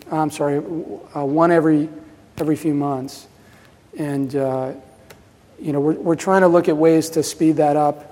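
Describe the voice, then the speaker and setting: A man in his fifties gives a casual conference talk, voice sitting a touch high. He rambles with plenty of fillers and restarts. The sound carries a long reverb from a large hall. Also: warm tone. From New Jersey, USA. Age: 50s. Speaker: presenter at a pinball festival.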